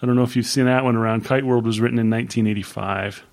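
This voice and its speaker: A man in his fifties co-hosting a book podcast, talking in a casual, rambling way with many pauses and fillers. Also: mid-range voice, fairly even intonation, vocal fry, a little mellow. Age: 50s. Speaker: podcast co-host.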